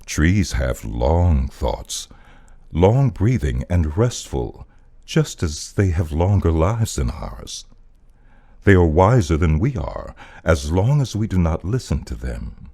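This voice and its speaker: A male voice-over artist giving a solemn, dramatic reading of poetic prose, with deliberate and theatrical emphasis. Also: deep voice, very animated, mellow. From United States.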